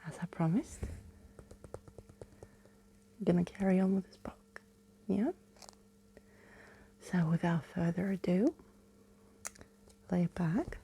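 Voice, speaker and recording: A woman reading a storybook and chatting softly as an ASMR host, in a hushed, breathy, soothing whisper close to the microphone. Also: medium pitch, a little hiss or hum.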